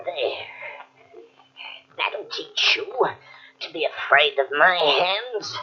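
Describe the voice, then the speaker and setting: Husky, unhinged killer, lo-fi radio. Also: male voice, fairly high pitch, animated, bright, forceful tone, exaggerated voice. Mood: wounded rage. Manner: brooding, slow threats that erupt into demands. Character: menacing, unhinged killer brother obsessed with his hands. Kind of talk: 1940s radio acting. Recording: dull, lo-fi old radio cassette recording.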